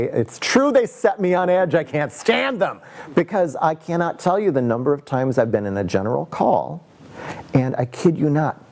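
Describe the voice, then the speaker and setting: A man with a mellow, darker tone talks about auditions in a documentary interview. He is emphatic and openly exasperated, restarting sentences as his thoughts catch up. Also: mid-range voice, vocal fry. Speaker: casting professional.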